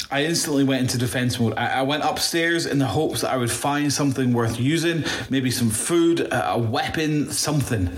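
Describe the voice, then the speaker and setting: A man playing a shaken, bitter apocalypse survivor in an audio drama monologue, halting, stammering and swearing as he recounts his ordeal. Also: mid-range voice, lightly acted. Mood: haunted, tense. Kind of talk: first-person audio drama monologue, confessional. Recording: roomy echo, faint background noise.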